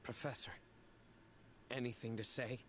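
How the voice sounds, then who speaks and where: A man as an earnest, bright-voiced young game hero. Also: medium pitch, flat delivery, a little slow, a little hiss or hum, natural voice. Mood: firm, probing. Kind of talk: video game voice acting.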